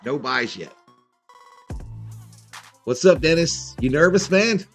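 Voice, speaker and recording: A man's voice: a little high, animated, takes it slowly, vocal fry, faint music behind. A man hosting a sports livestream, giving informal, chatty pregame commentary and talking directly to his viewers. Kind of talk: casual live pregame commentary.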